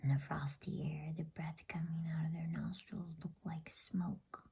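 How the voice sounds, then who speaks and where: A woman narrates a bedtime story in an ASMR whisper. Her voice is very husky and breathy, with a mellow, relaxed tone and an unhurried pace. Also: low voice.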